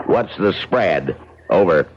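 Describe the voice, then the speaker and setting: A man's voice: deep voice, expressive, takes it slowly. An actor playing a skeptical small-town lawman with a folksy, sarcastic, dismissive manner, in an old muffled radio drama. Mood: brisk, knowing. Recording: muffled old radio broadcast, faint noise.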